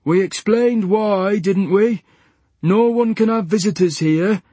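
A man voicing a calm, patronizing male hospital carer who speaks slowly and soothingly. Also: high voice, husky, dry recording, pitched above the performer's own voice, exaggerated voice.

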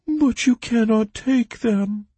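A man voicing a small, plaintive bog creature with a shrill voice, wailing in broken, childlike phrases in a storybook reading. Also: takes it slowly, mellow, slightly rough, no room sound, heavily acted. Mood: whiny, excited. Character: small plaintive male bog creature, fish thief, whiny and pitiful.

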